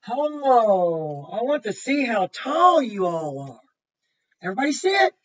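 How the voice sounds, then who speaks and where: A woman's voice: on the high side, very animated, measured pace. Distant, muffled woman teacher reading aloud. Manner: simple explanatory phrasing, pointing things out, repeating and asking questions. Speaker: kindergarten teacher. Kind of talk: reading a picture book aloud to children with explanatory asides.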